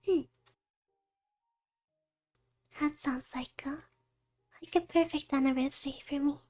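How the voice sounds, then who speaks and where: A woman's voice: sits high, steady tone, normal speed, a little mellow, close to an everyday voice. A young woman playing a doting, shy wife in a romantic ASMR roleplay, speaking in a cutesy, hesitant way. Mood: playful, dreamy. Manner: cutesy, hesitant, giggly, drifting into whispers.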